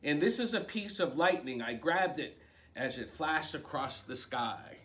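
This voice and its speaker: A plucky little chicken girl, bright and showy, as a man puts her on in a storybook reading. The voice sits a little higher than a typical man's and bubbles with excitement. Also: measured pace.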